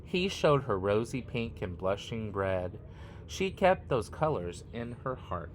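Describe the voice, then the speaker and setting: A man reading a picture book aloud expressively, in short phrases with deliberate, dramatic pauses. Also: fairly low pitch, sing-song, slight background noise. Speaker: read-aloud storyteller.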